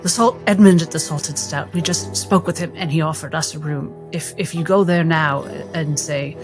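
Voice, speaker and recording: A player voicing a composed, encouraging doctor in a tabletop roleplaying game, with a measured, reassuring manner. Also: mid-range voice, fairly even intonation, big-room sound, over music, lightly acted. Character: composed, encouraging doctor speaking kindly, also by telepathy.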